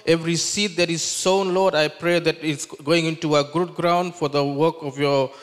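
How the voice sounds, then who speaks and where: A man in his thirties from Fiji gives a testimony to a church congregation and then prays earnestly and fervently. His voice is smooth and sits a little high. A handheld mic catches him in a church hall with a long reverb. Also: steady tone, normal speed. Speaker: visiting Bible school leader. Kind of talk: testimony and closing prayer. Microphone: handheld microphone.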